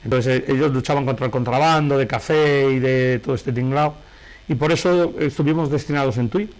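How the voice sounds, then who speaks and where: Interview guest: middle-aged man, Spanish accent, average pitch, digressive storyteller who corrects himself. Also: fairly quick. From Galicia, Spain. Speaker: interview guest, painter and novelist. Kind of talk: conversational interview, anecdotal storytelling.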